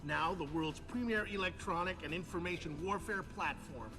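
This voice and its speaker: A man's voice: high-pitched, moderate pace, over music, close to an everyday voice. A man playing a formal defense secretary making a public statement in a video game.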